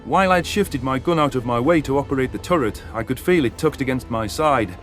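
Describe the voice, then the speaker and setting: A man's voice: medium pitch, animated, brisk, fairly bright tone, faint music behind. A man narrating a dramatic first-person science fiction story as an audiobook.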